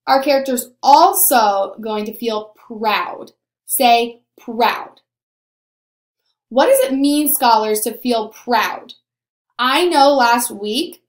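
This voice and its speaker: A young woman teacher giving a recorded lesson to young children, explaining simply and deliberately, on a computer mic in a small room. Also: fairly high pitch. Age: young adult. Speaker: elementary school teacher. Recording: roomy, echoing home recording.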